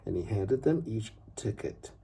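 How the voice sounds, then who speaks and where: A man reading a children's story aloud in an expressive, unhurried way with dramatic pauses and a husky, gravelly voice. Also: on the low side, slight background noise. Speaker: father reading a bedtime story.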